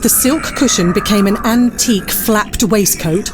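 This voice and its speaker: A woman's voice: mid-range voice, unhurried, music in the background, lightly acted. A woman playing the storyteller, narrating a scripted, whimsical period tale for an audio drama. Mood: vivid, intrigued.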